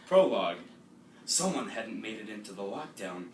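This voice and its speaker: Guy narrating a comedy stage reading, kinda high voice, echoey room with background noise. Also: sing-song, moderate pace. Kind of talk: comedic readers' theatre narration. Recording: echoey, noisy room from far off.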